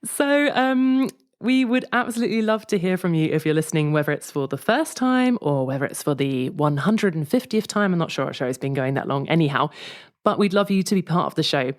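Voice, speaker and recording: A British woman with a notably low voice co-hosts a relaxed podcast chat. She is talkative and teasing, and laughs easily at her partner. She sounds like she is in her thirties. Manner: chatty, teasing, quick to laugh. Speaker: podcast co-host. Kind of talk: casual chat.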